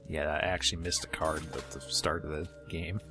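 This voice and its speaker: A man's voice: rumbling low, level tone, normal speed. A man giving casual commentary while playing a video game. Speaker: video game let's-player. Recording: loud game music under voice.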